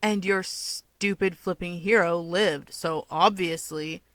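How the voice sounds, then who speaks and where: A woman's voice: on the low side, steady tone, takes it slowly, a bit bright, no room sound. Sarcastic, short-tempered young man acted by a female narrator, curt, scornful storybook dialogue, close dry recording. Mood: snappish.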